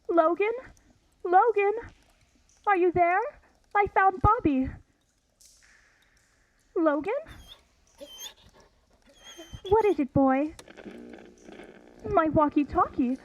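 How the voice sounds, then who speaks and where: Woman playing an anxious, high-voiced heroine scientist. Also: lively intonation, takes it slowly, long pauses, dry recording, exaggerated voice. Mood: worried. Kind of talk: radio adventure serial acting. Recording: dull, distant dry recording.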